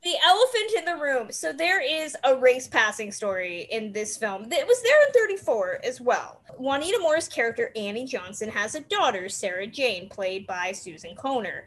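A woman hosting a casual film discussion, talking in an animated way with wry asides. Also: fairly high pitch, moderate pace, few pauses. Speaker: host of a film discussion podcast.

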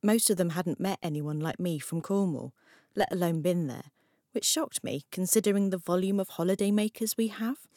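A woman's voice: on the low side, normal speed, warm tone, dry recording, natural voice. Actress as a reflective young woman, dry sound. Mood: wistful, aching. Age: young adult. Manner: reflective, understated. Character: lonely, stoical young Cornish student, guiltily devoted daughter. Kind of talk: audio drama monologue, intimate confession.

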